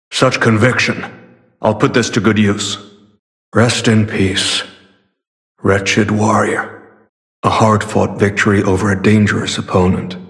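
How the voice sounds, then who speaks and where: An actor voicing a grave, courteous, weary warrior in a video game, with formal, measured delivery. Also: male voice, fairly low pitch, a bit bright. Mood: solemn, composed. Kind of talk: dramatic video game voice acting.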